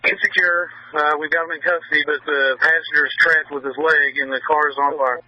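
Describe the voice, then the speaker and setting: A man's voice: a little high, steady tone, moderate pace, bright, forceful tone, dry recording. A man, a police officer in the field, giving clipped, matter-of-fact urgent reports over two-way radio. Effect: two-way radio transmission. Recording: muffled police scanner radio audio.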